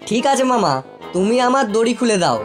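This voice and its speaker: High-voiced sly cartoon fox acted by a woman. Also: big pitch swings, normal speed, over music. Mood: sly, coaxing. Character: sly, scheming fox nephew, greedy and quick-witted. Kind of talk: animated children's folktale acting.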